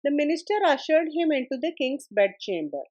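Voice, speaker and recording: Woman, storyteller, high-pitched voice, scripted narration of a tale. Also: big pitch swings, fairly quick, darkish tone, dull audio.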